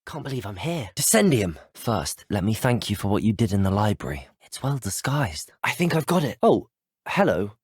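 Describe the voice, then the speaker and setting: A man's voice: lowish voice, big pitch swings, normal speed, breathy edge, no echo, studio quality. A young man with an English accent voicing a polite, eager student wizard in scripted video game dialogue. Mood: earnest, curious. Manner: polite, well-spoken, earnest.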